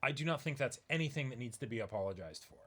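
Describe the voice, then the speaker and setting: A man's voice: medium pitch, lively intonation, fast, a bit bright. A man co-hosting a manga reading stream, talking casually in an emphatic, opinionated way and repeating phrases for stress. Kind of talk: casual discussion.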